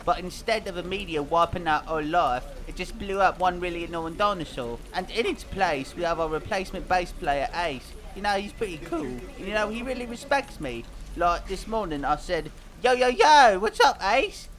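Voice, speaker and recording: Guy doing a high voiced cartoon singer lad, kinda dim n earnest, rambles and hesitates in a mock interview. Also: big pitch swings, moderate pace, few pauses, a bit bright. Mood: naive, pleased. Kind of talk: scripted mock interview, fan dub.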